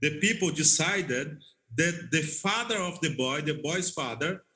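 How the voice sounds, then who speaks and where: Slow, bright-voiced man, non-native English speaker. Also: fairly high pitch, lively intonation. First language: not English. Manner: halting, repetitive, checks understanding. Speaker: missionary interview guest. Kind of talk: conversational storytelling.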